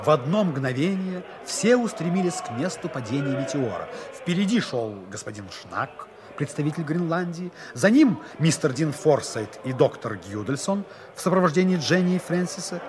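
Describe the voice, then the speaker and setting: An actor narrating a 1960s Soviet radio play as a wry storyteller, with theatrical, expressive emphasis. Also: male voice, on the high side, normal speed, hardly any pauses, background noise. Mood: wry storytelling.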